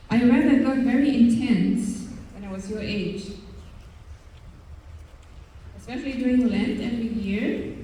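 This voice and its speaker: A woman's voice: a little high, mellow. A woman with a German accent, a religious sister giving an earnest, hesitant faith testimony to young people at a live event. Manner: earnest, hesitant, with frequent pauses and fillers. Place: large hall at a youth event. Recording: echoey hall, voice from far off.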